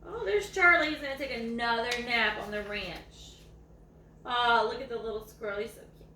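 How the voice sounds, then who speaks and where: A woman's voice: a little high, moderate pace, pushed and bright. A woman doing a picture book read-aloud for children, adding chatty, cooing asides. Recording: echoey room, distant mic, faint noise.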